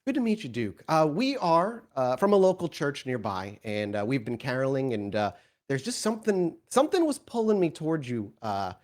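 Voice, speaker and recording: A man voicing an earnest, smiling young male church caroler in a tabletop game, polite, halting and pushy in his friendliness. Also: mid-range voice, big pitch swings, darkish tone, voice pushed up, close to an everyday voice. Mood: earnest, friendly.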